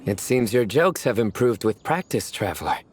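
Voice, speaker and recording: An actor playing a composed man with a deadpan love of puns in a video game, speaking in a measured way. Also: lowish voice. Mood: dryly pleased. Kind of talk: video game character voice acting.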